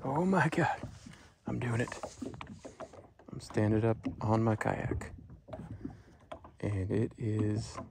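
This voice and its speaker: A man's voice: lowish voice, slow, creaky, pauses often. A man talking casually and playfully to himself as he films an outdoor vlog in a kayak on the water, rambling along. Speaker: host. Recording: noisy outdoor recording on the water.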